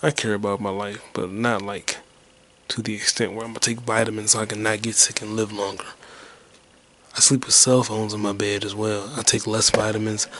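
Low-key man mumbling in a flat drone. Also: brisk, a little hiss or hum. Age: 20s. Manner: whispered rambling. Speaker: podcast host. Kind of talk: rambling solo podcast monologue.

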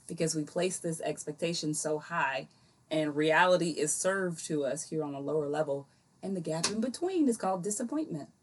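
A woman's voice: sits low, lively intonation, normal speed, slight background noise. A woman co-hosting a casual chat in a playful, colloquial way, acting out remarks as she quotes them. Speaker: podcast co-host.

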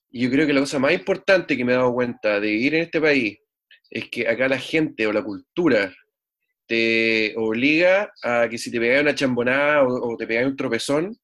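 A man's voice: mid-range voice, a bit bright. Chatty Chilean man, rambling. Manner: rambling, repeats words, Chilean slang. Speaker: guest musician. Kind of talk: casual interview chat.

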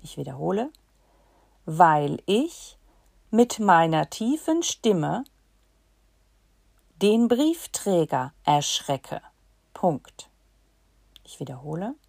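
Female teacher reading a dictation, chunked and deliberate, low pitch with a lively melody. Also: vocal fry, breathy edge, dry recording, clean studio sound. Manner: reads in short chunks and says punctuation aloud. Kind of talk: slow dictation for learners.

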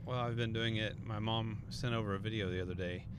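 A man's voice: lowish voice, fairly even intonation, a little fast, noisy background. A man in his thirties co-hosting a podcast, chatting and joking casually with his wife. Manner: joking, playful banter. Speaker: podcast co-host. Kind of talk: casual chat. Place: in a car.

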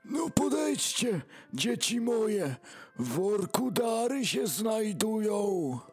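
A man's voice: high voice, sing-song, slow pace, breathy edge, faint music behind, heavily acted. A man voicing a menacing old soul-eating ghost who poses as Santa in a comic rhymed audio play. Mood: sly, coaxing.

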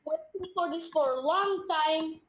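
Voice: extremely high pitch, unhurried, fairly bright tone, a little hiss or hum. A child co-hosting a casual podcast and playing a guessing game. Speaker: podcast co-host.

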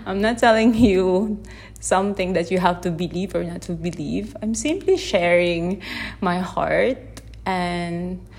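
A woman from the Philippines whose first language is Tagalog, talking unscripted into a phone held in one hand on her podcast, gently and with many restarts as she searches for words. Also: lowish voice, measured pace. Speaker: podcast host. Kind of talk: unscripted reflective podcast monologue. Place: unedited setting with background sound.

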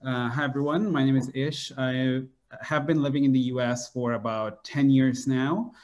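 A man's voice: medium pitch, fairly even intonation, moderate pace, darkish tone. A young man from Bangladesh, a neuroscience PhD student, answering interview questions with lots of fillers and "sort of". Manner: hesitant, many fillers and "sort of".

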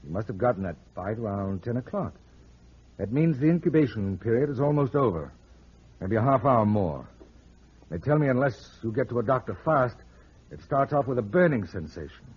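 Wry radio detective, muffled, swooping delivery. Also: male voice, mid-range voice, expressive, moderate pace, slightly rough. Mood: taunting. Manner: sardonic, explaining, teasing. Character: wisecracking, shrewd male private detective, smooth with women. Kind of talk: old-time radio drama acting. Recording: muffled vintage radio drama recording.

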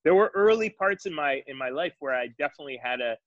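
A man's voice: fairly high pitch, animated, fast talker, a bit bright, dry recording, highs cut off. A man from Israel chatting casually as co-host, thoughtful and hesitant, with frequent fillers. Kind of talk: casual chat.

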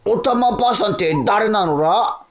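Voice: medium pitch, moderate pace, natural voice. An actor voicing a childlike little island creature, innocent and dopey, with babyish lisped sentence endings, for an audio drama. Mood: curious.

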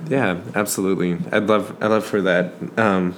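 Low-pitched young man, casual podcast chat. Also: steady tone, normal speed, a little hiss or hum. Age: 20s. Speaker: podcast host.

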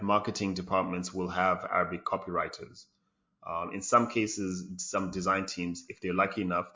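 A man's voice: deep voice, a bit bright, muffled recording. A man talking reflectively in a podcast interview, with many fillers and restarts. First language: English. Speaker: design lead guest.

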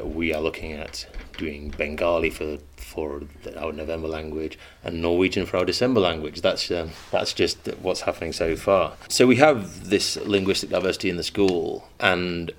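A man's voice: deep voice, fairly even intonation, moderate pace, a little hiss or hum. A man who teaches modern languages at a school, talking earnestly and measuredly in an interview, with frequent ums. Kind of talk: interview monologue. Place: school in Manchester.

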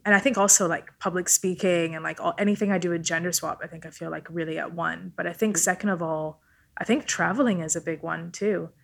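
Podcast guest: woman, native Italian speaker, fairly low voice, informal, thinking out loud. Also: steady tone, brisk, creaky. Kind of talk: casual chat.

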